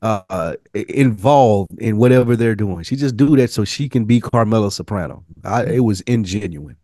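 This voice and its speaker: A man's voice: fairly low pitch, lively intonation, normal speed, dark tone, no echo, studio quality. A man with an American accent co-hosting a podcast, giving blunt, profane opinions in a casual TV discussion. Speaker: podcast co-host.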